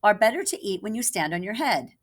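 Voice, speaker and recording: A woman's voice: mid-range voice, big pitch swings, fast, pitched above the performer's own voice. Woman as a know-it-all storybook cook. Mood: confident.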